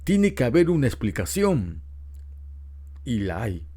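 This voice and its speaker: A man's voice: mid-range voice, big pitch swings, unhurried, dark tone, husky. A middle-aged man preaching a Bible lesson, explaining patiently and asking rhetorical questions. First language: Spanish. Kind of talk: Bible teaching talk.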